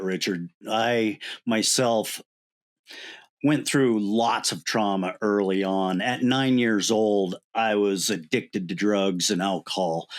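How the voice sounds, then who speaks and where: Polite low-voiced male podcast host. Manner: polite, formal introductions. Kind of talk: interview hosting.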